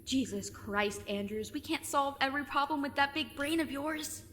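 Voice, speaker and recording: A woman's voice: sits high, normal speed, fairly bright tone, long reverb tail, slight background noise. A woman playing a sarcastic, exasperated teenage girl, dry and sceptical, in an audio drama. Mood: alarmed, reluctant. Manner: dry, sardonic, nagging.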